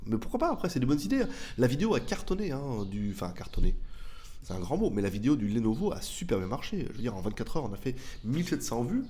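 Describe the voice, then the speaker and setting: A man's voice: mid-range voice, sing-song, quick pace, mellow. A man hosting a tech channel, chatting loosely through viewer questions with a head cold, rambling and slangy. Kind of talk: casual off-the-cuff chat.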